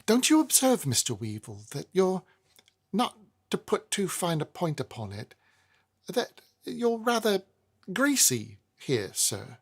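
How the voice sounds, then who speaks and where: A man's voice: high-pitched, huge pitch swings, slow, breathy edge, voice pushed up, exaggerated voice. A man voicing a timid, nervous middle-aged stationer with a fussy, hesitant manner. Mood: fussy, uneasy.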